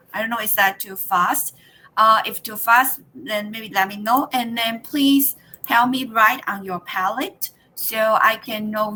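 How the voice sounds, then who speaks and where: Taiwanese woman, thirties, workshop leader on a video call; high, bright tone, halting delivery with lots of fillers. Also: low-quality recording. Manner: halting, with many fillers and restarts. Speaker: teacher trainer leading a workshop. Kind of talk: online workshop presentation.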